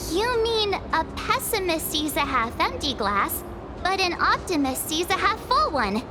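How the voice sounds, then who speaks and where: A woman voicing an excitable, cheerful young girl in an anime-style game, exclaiming and chatting brightly. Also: very high voice, measured pace, over loud music, full character voice. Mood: hopeful, teasing.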